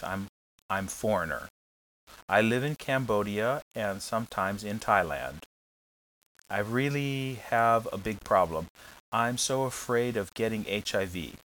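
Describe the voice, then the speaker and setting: A man's voice: lowish voice, fairly bright tone, slight background noise, rough recording. A man hosting an advice podcast, reading listener letters aloud and answering them in a blunt, jokey, frank way.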